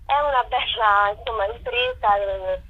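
A woman's voice: fairly high pitch, unhurried. A woman being interviewed on radio over the telephone, talking conversationally and searching a little for her words. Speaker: festival co-director, interview guest. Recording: dull, lo-fi telephone line.

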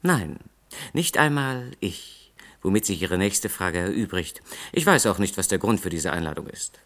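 A polite dutiful secretary to a president, old 60s radio play, deepish, melody moves a lot. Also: male voice, sing-song, normal speed, a bit bright, heavily acted. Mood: formal, discreet. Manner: formal, polite, clipped. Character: dutiful, discreet male presidential aide. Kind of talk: 1960s German radio drama acting.

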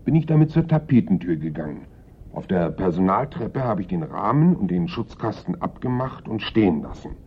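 A simple, nonchalant workman in a radio drama, answering evasively and colloquially. His voice is low and husky with lively swings in pitch. The sound is thin and band-limited, like an old broadcast. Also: normal speed, full character voice. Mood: matter-of-fact. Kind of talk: radio play acting. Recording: muffled, narrow-band old radio recording.

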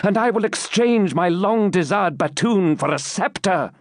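A man's voice: high voice, animated, a little slow, no room sound, clean studio sound. A man voicing a proud, fierce male knight templar who speaks in an impassioned, commanding way in a storybook reading. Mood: ardent.

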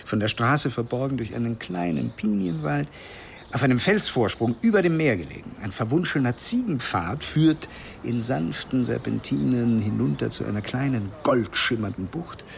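An actor playing a charming, playful middle-aged father in a radio play, with a gravelly voice. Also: medium pitch, lively intonation, moderate pace, slight background noise. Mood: suave, enticing. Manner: suave, playful, teasing.

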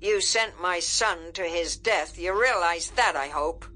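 A woman voicing a gruff, confident military general in an audiobook, blunt and harsh, with slangy commands. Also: mid-range voice, animated, a little slow, sharp tone, pitched above the performer's own voice. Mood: grim, menacing. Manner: barking, blunt, folksy slang.

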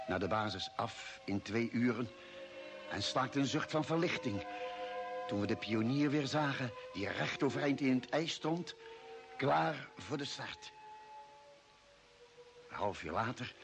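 An actor playing a sober, thoughtful space expedition member in a radio drama, with a measured, grave delivery. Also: male voice, medium pitch, close to an everyday voice. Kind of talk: radio drama acting and narration. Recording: noisy vintage radio drama recording.

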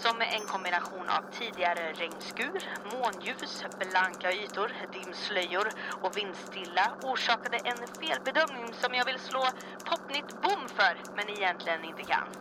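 A woman's voice: mid-range voice, animated, noisy background, close to an everyday voice. A woman playing a dry, unflappable resistance pilot with an understated manner in a comic audio drama. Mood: dry, sheepish.

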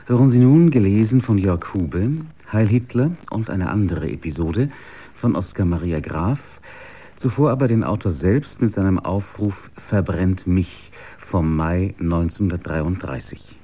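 Man, radio announcer, low pitch, mellow dark timbre, scripted introduction. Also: unhurried.

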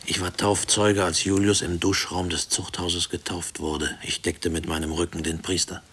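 An actor playing a haunted middle-aged former prisoner, speaking a hushed, deliberate, brooding radio play monologue. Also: male voice, low-pitched, flat delivery, slightly rough, heavily acted. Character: haunted male former prison inmate, brooding family man. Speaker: narrator and lead character of a radio play. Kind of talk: brooding first-person radio drama monologue.

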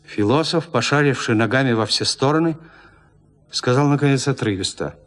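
A man narrating a classic literary tale for an audio drama in a measured, expressive storytelling manner. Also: medium pitch.

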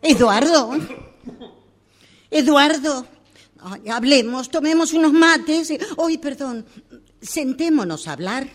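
An actress with an Argentine accent playing an exasperated, long-suffering wife in a radio drama. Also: female voice, high voice, expressive, slow pace, long pauses. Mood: pleading.

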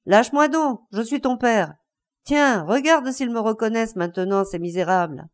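Woman acting out a frantic, enraged betrayed husband, high-pitched. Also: lively intonation, moderate pace, lightly acted. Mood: furious, accusing. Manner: breathless, insistent, vehement outbursts.

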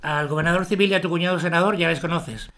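A man playing a courteous, wary upper-class gentleman in an audio drama, speaking formally. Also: on the high side, fairly even intonation, fast, natural voice. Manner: formal, polite.

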